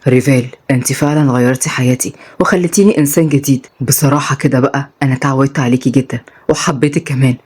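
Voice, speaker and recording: Woman playing a young Egyptian man, low, gruff, hot-tempered, affectionate, fast. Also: steady tone, a little mellow. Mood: tender. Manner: gruff, threatening, then tender.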